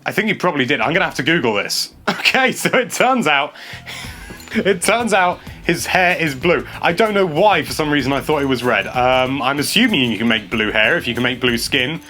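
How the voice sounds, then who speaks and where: Excitable young man commenting gameplay. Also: fairly high pitch, normal speed, faint music behind. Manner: excitable and chatty, stumbles over words, laughs often. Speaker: games journalist host. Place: small indoor room.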